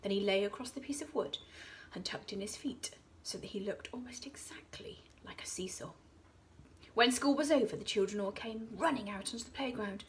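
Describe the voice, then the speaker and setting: An English woman, an infant school teacher, reading a children's story aloud, dropping into hushed whispers for suspense. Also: mid-range voice, normal speed, a little hiss or hum.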